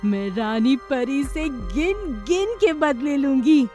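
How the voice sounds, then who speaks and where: A woman puts on an evil, scheming sorceress for a children's cartoon. Her voice is high and swoops widely, stretching words with vengeful menace. Music plays behind her. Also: lively intonation, dark tone. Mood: gloating menace. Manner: gloating, drawn-out menace. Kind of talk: children's cartoon story villain.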